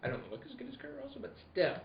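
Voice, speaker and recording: A man's voice: very high-pitched, wildly swooping pitch, very fast, gravelly. A middle-aged man hosting a live radio-play show, bantering casually in a jokey, rambling way. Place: indoor room with group. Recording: echoey room, muffled, heavy noise.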